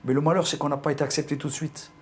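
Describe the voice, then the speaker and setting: A man's voice: a little high, little pitch movement, racing, slight background noise. An older man from Algeria with a pied-noir accent, telling his memories in an interview, hesitating and repeating words. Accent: pied-noir French. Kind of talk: recounting personal memories.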